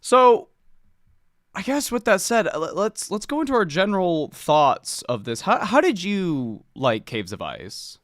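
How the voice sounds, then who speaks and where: A man hosting a book club podcast in casual chat, a little sniffly, talking in an animated, expressive way. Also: high voice, measured pace, no room sound, clean studio sound. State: slightly sniffly.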